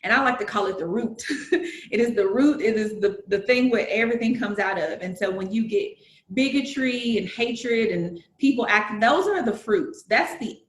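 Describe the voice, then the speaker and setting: A woman's voice: mid-range voice, moderate pace, echoey room. A woman with a Southern US accent in casual conversation, earnestly explaining her points with analogies and frequent fillers. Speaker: guest.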